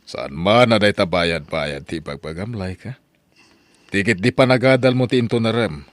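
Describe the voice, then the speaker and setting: A man playing a well-off middle-aged father and patron in an audio drama, paternal and gently admonishing. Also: fairly low pitch, normal speed, no room sound, natural voice. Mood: scolding. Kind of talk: Ilocano audio drama acting.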